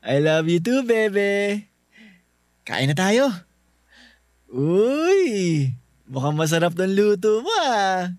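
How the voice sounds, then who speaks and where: A man's voice: high-pitched, big pitch swings, slow pace, long pauses, no room sound, clean studio sound, full character voice. A man playing an affectionate, easygoing young husband in a Filipino radio drama, teasing and sweet-talking in a drawl with a Filipino accent. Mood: tender.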